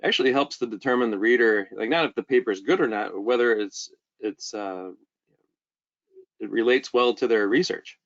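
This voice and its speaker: A man giving an informal webinar lecture, speaking haltingly and often repeating words. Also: mid-range voice. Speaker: webinar presenter, journal editor. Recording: dull, band-limited webinar audio.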